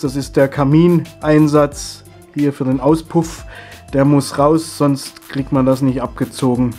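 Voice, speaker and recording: A middle-aged man, stuffy and husky from a cold, chatting his way step by step through a hands-on hobby tutorial. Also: medium pitch, fairly even intonation, unhurried, dark tone, faint music behind. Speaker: model railway hobby video host.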